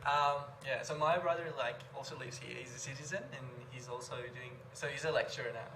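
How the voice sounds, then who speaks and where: A man's voice: medium pitch, a little fast. A young man from Iran with a Persian accent, a native Persian speaker and student, chatting casually and hesitantly with many likes and restarts. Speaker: engineering student. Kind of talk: casual chat with tutor. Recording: echoey room with clear noise.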